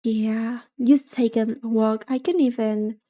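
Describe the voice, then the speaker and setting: Soft-spoken woman streamer, mellow tone. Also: on the high side, steady tone, slow. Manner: soft-spoken, rambling and chatty. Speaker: VTuber streamer. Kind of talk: casual chat while gaming.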